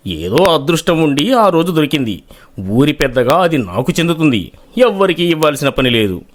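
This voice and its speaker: A man's voice: a little high, sing-song, normal speed. A man playing a proud, greedy, stern village landlord in storybook dialogue. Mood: stubborn, dismissive.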